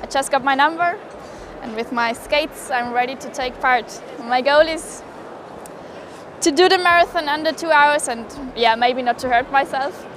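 A woman's voice: high-pitched, normal speed, background noise. A young woman, speaking in a cheerful, upbeat way in an interview. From Austria. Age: 20s. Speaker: student skater.

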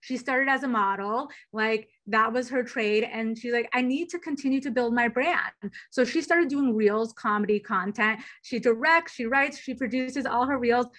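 A woman in her thirties talking as a guest in a fireside chat over a video call from a small room, upbeat and enthusiastic. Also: fairly high pitch. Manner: upbeat, enthusiastic, quick and lively. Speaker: platform executive guest. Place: small bedroom indoors. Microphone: laptop webcam video call.

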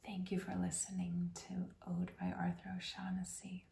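A woman hosting an ASMR channel, reading a rhyming poem in a soft, unvoiced whisper throughout. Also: on the low side, completely flat delivery, moderate pace, vocal fry, echoey room, slight background noise. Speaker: host.

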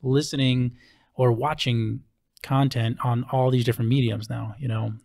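A man's voice: medium pitch, steady tone, moderate pace, creaky, darkish tone. A middle-aged man hosting a podcast, chatting informally and giving his opinions into a close studio mic. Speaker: podcast host. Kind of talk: casual podcast chat. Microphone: close studio mic on stand, headphones.

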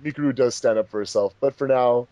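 A man's voice: medium pitch, quick pace, warm tone, no echo, dull audio. A man hosting a commentary track in casual, chatty speech. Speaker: fandub director and commentary host.

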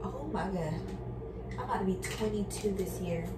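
A woman's voice: medium pitch, moderate pace. A woman in her early twenties chatting casually and candidly to viewers as she cooks, with informal, slangy speech. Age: early 20s. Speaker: cooking vlogger. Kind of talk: casual chat to viewers while cooking. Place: home kitchen, indoors. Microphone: camera on counter, a few feet away. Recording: roomy, distant kitchen recording with background noise.

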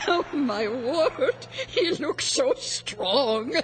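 An actress playing a modest older wife in a radio drama, tender and full of wonder. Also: female voice, high voice, animated, drawn-out words, exaggerated voice. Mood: admiring, modest. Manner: tender, marvelling, self-deprecating.

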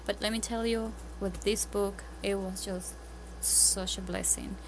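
A woman with a strong Spanish accent chats through homeschooling tips at her table, earnest and full of ums and restarts. Her phone's mic picks her up over a steady bed of clear background noise. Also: medium pitch, a little slow. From Spanish-speaking country. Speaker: homeschooling parent. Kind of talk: casual tips vlog. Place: home, at a table, indoors.

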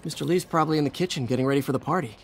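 A man's voice: fairly high pitch, racing, slight background noise, natural voice. An actor playing an earnest, boyish young American man, a slightly awkward hero, in a video game. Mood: casual. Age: young adult. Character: earnest, awkward young male superhero in everyday life.

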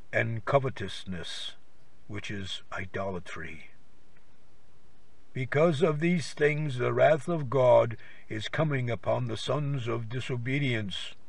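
A man reading scripture and prayers in a measured, reverent and formal liturgical style. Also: mid-range voice, gravelly, no room sound, far from the mic.

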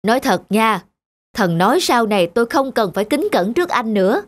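A woman's voice: a little high, big pitch swings, brisk. A woman voicing a humble, earnest elderly man who keeps a temple in a cartoon folktale. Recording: music behind the voice.

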